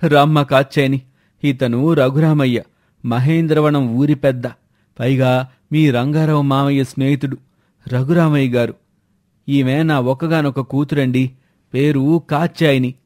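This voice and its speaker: A man's voice: medium pitch, steady tone, a little slow, a little mellow, no echo, clean studio sound, close to an everyday voice. A man playing a hospitable village father in a storybook drama, with an eager, deferential manner. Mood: welcoming.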